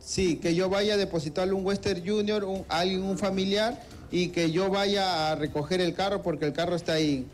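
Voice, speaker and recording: A man's voice: high-pitched, fairly even intonation, moderate pace, soft background music. A Peruvian man in his thirties, interviewed outdoors on a street into a handheld mic, speaking hesitantly with fillers. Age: 30s. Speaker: crime victim interviewee. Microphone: reporter's handheld mic.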